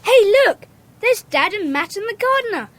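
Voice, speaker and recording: A woman's voice: very high-pitched, big pitch swings, normal speed. A woman playing a plucky, bossy schoolboy in a children's audio drama, speaking in eager exclamations. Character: Peter, plucky bossy schoolboy, leader of a child detective club. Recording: faint background noise, cassette dramatization.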